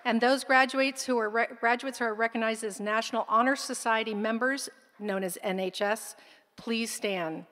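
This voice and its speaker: A woman's voice: a little high, moderate pace, fairly bright tone. Formal woman announcer at a podium mic, long hall echo. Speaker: school administrator announcing honors. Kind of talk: ceremony announcements. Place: large concert hall stage. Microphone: podium microphone. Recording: long hall reverb, faint crowd.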